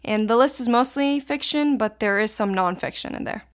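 Smooth-voiced teenage girl from Israel. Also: a little high, normal speed. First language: Hebrew. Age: teens. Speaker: interviewee. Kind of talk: casual interview answers.

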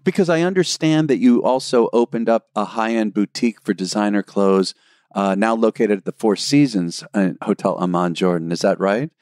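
A man's voice: lowish voice, darkish tone, dry recording, clean studio sound. A man hosting a podcast interview, reacting with enthusiasm and curiosity to his guest. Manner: enthusiastic, quick to react with "wow". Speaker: podcast host. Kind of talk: interview conversation.

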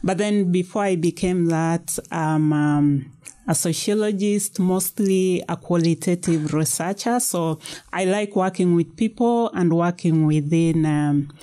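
Low, mellow Kenyan woman. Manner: thoughtful, unhurried storytelling with soft fillers. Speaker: guest author. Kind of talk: casual interview. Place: small recording studio. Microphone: studio mic on boom arm.

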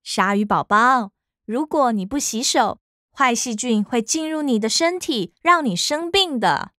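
Cartoon mother shark: playful, gentle, slow and very animated, Taiwanese Mandarin. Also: on the high side, no echo, clean studio sound. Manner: sing-song, coaxing, patient. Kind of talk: children's cartoon dubbing. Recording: children's music behind voice.

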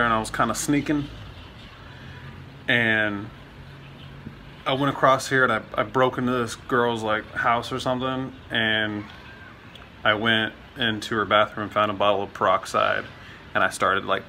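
A man's voice: on the low side, pushed and bright, a little hiss or hum. Rambling, swearing man on a close phone mic. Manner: rambling, swears often, many fillers. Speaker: vlogger. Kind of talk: casual vlog chat. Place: indoors at home, then outdoors on city streets and a trail. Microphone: phone camera held close at arm's length.

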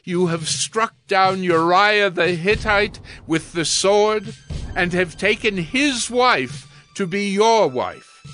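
A man playing a stern, solemn prophet who declaims God's judgment deliberately in an audio Bible drama. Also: high-pitched, lively intonation, sharp tone, soft background music, exaggerated voice. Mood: stern, grave.

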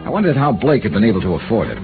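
A hard-boiled insurance investigator with a deadpan, low voice that still moves around a lot. It sounds like a tinny old radio broadcast with music under it. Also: male voice, racing. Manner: deadpan, dry. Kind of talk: 1950s radio drama narration and acting.

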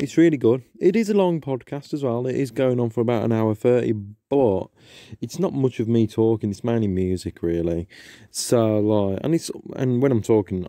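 A man's voice: on the low side, mellow, no echo, studio quality. A young man hosting a podcast, chatting casually and hesitantly with many ums and restarts. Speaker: podcast host. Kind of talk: casual solo intro chat.

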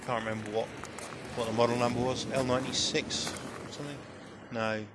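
A middle-aged man with a British accent talks casually to his camera at a medium pitch. His delivery is halting and thoughtful, as if thinking aloud while searching. It is a handheld outdoor recording with clear background noise. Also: level tone. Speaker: vlogger. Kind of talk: casual off-the-cuff commentary while exploring. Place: outdoors on a beach below cliffs.

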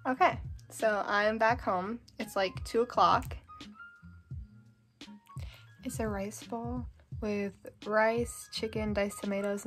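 A woman's voice: medium pitch, a bit bright. A teenage girl chatting casually to her phone camera in her bedroom, rambling with lots of "like" fillers. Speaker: vlogger. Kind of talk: casual day-in-the-life vlog. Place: small bedroom and home, indoors. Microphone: phone camera held close.

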